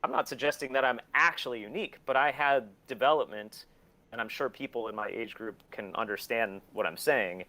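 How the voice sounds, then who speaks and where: A man's voice: medium pitch, brisk, sharp tone, poor audio. A man in his forties talking candidly and casually as an interview guest, blunt and opinionated, swearing often. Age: 40s. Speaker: interview guest, record label and museum owner.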